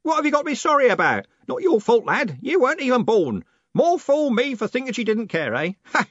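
A man voicing a loud, blunt, hearty old male merchant who bellows every line. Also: sing-song, a little fast, no room sound, lo-fi sound, full character voice. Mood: booming hearty. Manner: bellowing, booming.

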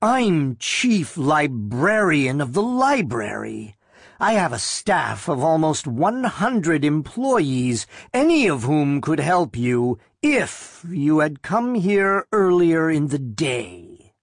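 A woman's voice: low-pitched, sing-song, slow, slightly rough, no echo, studio quality, pitched above the performer's own voice, exaggerated voice. A woman reader voicing a stern, irritable middle-aged chief librarian in a harsh, mocking, imperious manner for a story. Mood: haughty.

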